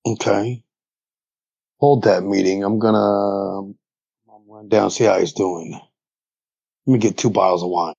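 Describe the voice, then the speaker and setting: A man's voice: fairly low pitch, steady tone, unhurried, gravelly, pauses often, dark tone, husky, dry recording, exaggerated voice. A man playing a laid-back, wry male smuggler in a fantasy tabletop game, speaking casually over slightly dull audio. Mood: businesslike.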